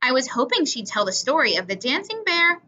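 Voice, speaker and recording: A woman reading a children's story aloud in an expressive, deliberate manner, acting out the dialogue. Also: high voice. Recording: dull lo-fi recording.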